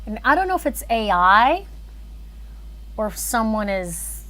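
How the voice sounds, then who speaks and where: Unhurried woman chatting on a livestream. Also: a little high. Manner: chatty, rambling, thinking aloud. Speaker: animator and filmmaker streaming live. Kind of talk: casual livestream chat.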